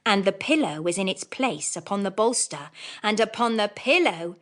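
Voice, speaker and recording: A woman narrating a children's audiobook as scripted storytelling, in an expressive manner. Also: medium pitch, moderate pace.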